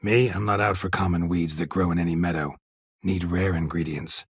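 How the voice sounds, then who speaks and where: An actor playing a gruff, stoic, sardonic middle-aged monster hunter in a video game, a gravelly rasp and terse, deadpan delivery. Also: male voice, sits low, moderate pace, dry recording. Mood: dry, questioning. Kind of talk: video game character dialogue.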